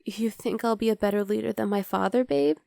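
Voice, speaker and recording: A woman playing an affectionate, playful young demon girlfriend in an intimate ASMR roleplay, speaking softly to the listener. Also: medium pitch, a little fast, gravelly, no room sound, clean studio sound, close to an everyday voice. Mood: determined, then touched, earnest.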